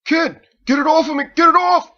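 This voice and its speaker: A man voicing a brash, excitable young rifleman in an audiobook story. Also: very high-pitched, fairly quick, dull audio, voice pushed up. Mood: panicked. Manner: loud, jeering, excitable. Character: brash, teasing young male rifleman on patrol.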